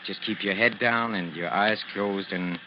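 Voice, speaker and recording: Actor as a needy, theatrical old boxing maestro, noisy recording. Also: male voice, fairly low pitch, steady tone, measured pace, close to an everyday voice. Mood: quietly ominous. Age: older. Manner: effusive, pleading, theatrical, chuckling nervously. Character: obsessive, needy older boxing trainer called Maestro. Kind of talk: old-time radio drama acting. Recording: noisy, dull vintage radio recording.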